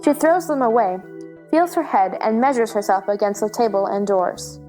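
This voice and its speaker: A woman's voice: on the high side, animated, normal speed, dark tone, soft background music. A woman giving scripted narration, reading out the stage directions of a play.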